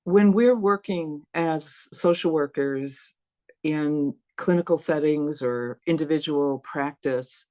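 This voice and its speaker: A woman's voice: sits low, slow pace, a little mellow, dry recording. A woman, a university dean, giving an earnest, passionate talk to an online forum, with rhetorical questions. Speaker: dean and guest speaker.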